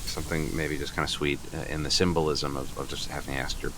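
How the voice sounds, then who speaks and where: Very deep-voiced, flustered, stammering boyfriend. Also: male voice, flat delivery, fast talker. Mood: flustered, apologetic. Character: nervous, earnest, apologetic boyfriend seeking a father's blessing. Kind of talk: improvised comedy radio play, naturalistic dialogue. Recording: faint sizzling barbecue noise behind.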